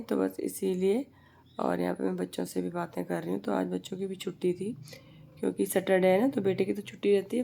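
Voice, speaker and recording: A woman in her thirties with a hoarse, heavy voice from a sore throat, chatting and rambling through a casual voice-over for her family vlog. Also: medium pitch, mellow. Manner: chatty, rambling, repeats herself. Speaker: family vlogger. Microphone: voice-over recorded separately from the footage.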